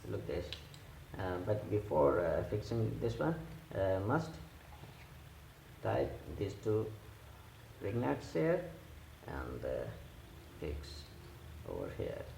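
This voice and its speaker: A man who speaks English as a second language, giving hands-on repair instructions in a halting, simple step-by-step way. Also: sits low, huge pitch swings, a little mellow. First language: not English. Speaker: repair tutorial host. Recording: echoey room with clear background noise.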